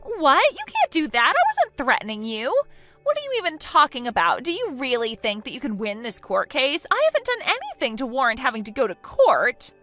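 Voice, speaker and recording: A woman's voice: a little high, very animated, fairly quick, few pauses, sharp tone, faint music behind. A woman voicing a selfish, entitled young woman who reacts with whiny, indignant outbursts.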